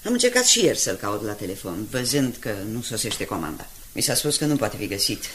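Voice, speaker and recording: A woman's voice: rumbling low, big pitch swings, fairly bright tone, exaggerated voice. A woman playing an anxious, dutiful newspaper secretary in a radio drama, formal and deferential. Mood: formal, concerned. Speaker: actor.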